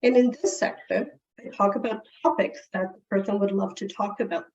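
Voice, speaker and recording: A woman's voice: mid-range voice, fairly quick. A woman whose first language is Portuguese, giving a conversational webinar presentation. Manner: warm, conversational explaining with small restarts. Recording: distant, dull-sounding webinar mic.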